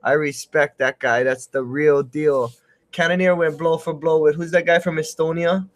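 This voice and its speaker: A man's voice: a little high, steady tone, normal speed. A man in his thirties giving casual, rambling live fight commentary, often repeating his phrases. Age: 30s. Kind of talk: casual live play-by-play chat.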